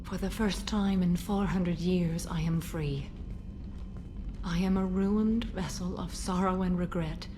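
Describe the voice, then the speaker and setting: Solemn ancient warrior mother, low voice, played by a woman. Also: fairly even intonation, noisy background, lightly acted. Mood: sorrowful, solemn. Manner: solemn, formal, measured. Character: stern, sorrowful, centuries-old alien warrior mother. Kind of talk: video game character acting. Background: game music.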